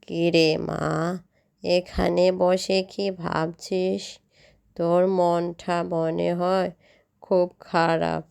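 Woman voicing a slow, mysterious old woman with creaky voice. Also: on the low side, little pitch movement, a little mellow, heavily acted. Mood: gentle, mysterious. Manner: slow, drawn-out, soothing. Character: kindly mysterious old woman with magic spells.

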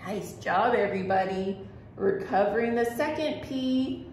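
A woman's voice: medium pitch, measured pace, darkish tone. A woman hosting a children's storytime as a librarian, reading aloud expressively and exclaiming as she addresses young listeners directly. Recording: echoey room with faint noise.

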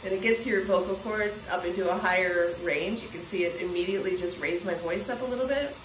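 A woman's voice: on the low side, fairly quick. A woman in her forties speaking earnestly to a phone camera in a small bathroom, musing with restarts while her throat sounds scratchy. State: scratchy throat, clearing it often. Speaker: spiritual vlogger. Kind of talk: casual spiritual monologue to camera. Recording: roomy bathroom echo, faint hiss.

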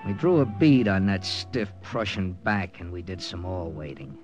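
An actor playing a terse, commanding American wartime intelligence captain with an American accent in an old-time radio drama. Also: male voice, low-pitched, very animated, normal speed, highs cut off. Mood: tense narrating. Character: hard-boiled American OSS captain narrating his wartime mission. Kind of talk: 1940s-50s radio drama narration and acting. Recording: vintage radio drama with music.

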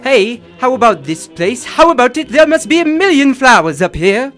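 An actor playing a cagey, defensive small-time forger with slangy, evasive talk, in old radio drama style. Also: male voice, very high voice, very animated, moderate pace, a bit bright, faint music behind, exaggerated voice. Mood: amazed. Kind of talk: 1950s radio drama acting.

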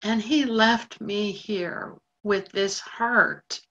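A woman's voice: mid-range voice, vocal fry, sharp tone, muffled recording, full character voice. A woman playing a lonely, blunt station janitor. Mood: hurt. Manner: blunt, swearing, working-class, halting. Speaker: actor in a monologue. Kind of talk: stage monologue.

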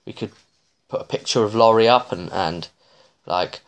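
Low-pitched man, halting and rambling. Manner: halting, digressive, often restarting mid-sentence. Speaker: tabletop game master recapping sessions. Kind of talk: casual, rambling vlog recap. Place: small living room, indoors.

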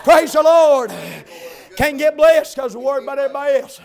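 A man preaching a revival sermon in a church, shouting in a chanting cadence and punctuating every phrase with "amen" and a rhythmic "ah.". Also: extremely high pitch, steady tone, a little fast.

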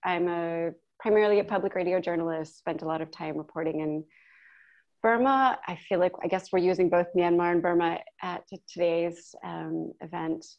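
A woman's voice: lowish voice, fairly even intonation, moderate pace. A woman radio journalist introducing herself on an online panel in a friendly, easygoing way. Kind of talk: casual self-introduction on a video panel. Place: indoor sunlit room on a video call. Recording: dull video call audio.

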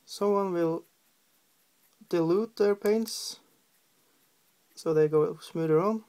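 A man's voice: high-pitched, a little mellow. A man from Norway with a Norwegian accent, hesitantly talking through a miniature painting tutorial at his desk, with many fillers. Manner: hesitant, halting, many fillers, humming while working. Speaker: hobby painter. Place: at a desk indoors.

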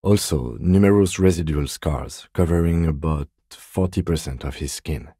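A man playing a composed, meticulous police lieutenant detective in a video game, speaking in a precise, deadpan, politely reserved way. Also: a bass voice, moderate pace, husky, dry recording, studio quality, exaggerated voice. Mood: clinical. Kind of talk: video game character dialogue.

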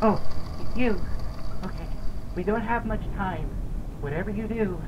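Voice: mid-range voice, measured pace, background noise, close to an everyday voice. An actor voicing a timid, worried talking sheep, a hesitant, bleating sidekick in a children's cartoon inside a horror game. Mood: hushed, urgent.

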